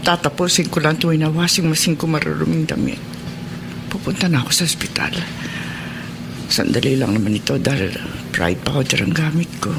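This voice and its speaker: A creaky old praying Filipino mother. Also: low-pitched, normal speed, background noise. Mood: worried. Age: older. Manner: earnest, emotional. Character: prayerful elderly mother, anxious, self-sacrificing. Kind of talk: Filipino radio drama monologue.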